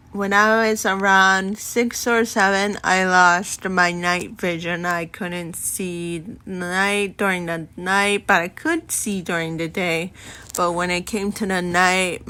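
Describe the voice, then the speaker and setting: Slow, earnest young woman hosting a podcast. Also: medium pitch, pushed and bright. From Mexico. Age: 20s. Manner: earnest, unhurried, talks with many pauses and restarts. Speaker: podcast host. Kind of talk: personal storytelling podcast.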